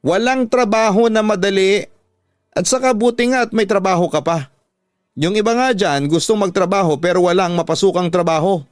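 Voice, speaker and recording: A man's voice: sits high, dry recording, clean studio sound, voice pushed up, natural voice. A man voicing an angry, nagging father who scolds his daughter with exasperated insults in a radio drama.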